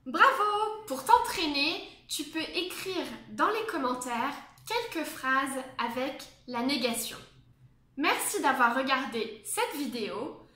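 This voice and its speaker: Slow, bright-voiced woman teaching French. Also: high-pitched. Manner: slow, clear teaching with English glosses. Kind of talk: language lesson for beginners. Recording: echoey room.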